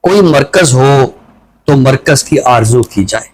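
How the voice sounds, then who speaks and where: Male, Pakistani accent, mid-pitched voice, literary presenter with measured phrasing. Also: expressive. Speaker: literary show presenter reciting a poem and introducing a book. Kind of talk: poetry recital and literary talk.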